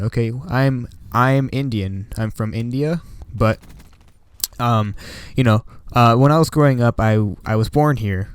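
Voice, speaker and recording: A man's voice: lowish voice, clear, resonant tone, no room sound. A young man from Washington State, the channel host, telling a story in a casual, rambling way with lots of "like" and "you know". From Washington State, United States.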